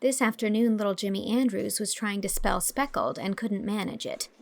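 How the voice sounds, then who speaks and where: Actress doing a young teacher telling stories in a letter, amused, well spoken, bit of fry, faint music behind. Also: female voice, mid-range voice, normal speed, lightly acted. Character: amused, articulate young female schoolteacher writing to friend. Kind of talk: scripted dramatised letter narration.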